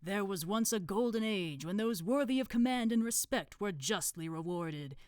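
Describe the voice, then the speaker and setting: Pompous male grand master of a secret order, acted by a woman, stately and scheming, animated storybook delivery. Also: medium pitch, dry recording, clean studio sound, voice pushed up, lightly acted. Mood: grandiose. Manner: archaic, grandiose, drawn-out delivery.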